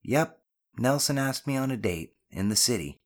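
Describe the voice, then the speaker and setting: A caring audiobook mother played by a male narrator, lifted well above his natural pitch. She is gently stern and a little self-conscious, and she chides with plain affection. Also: animated, moderate pace, close to an everyday voice. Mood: bashful, hesitant. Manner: chiding but affectionate. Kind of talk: audiobook character dialogue.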